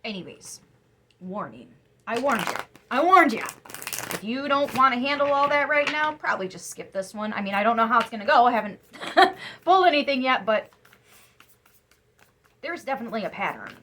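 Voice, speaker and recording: A young woman giving a casual tarot reading to camera, chatty and emphatic, sniffly after crying, her voice turning soft when she muses. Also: a little high, big pitch swings, normal speed, background noise. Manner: chatty, emphatic, drops to soft musing asides. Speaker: tarot reader.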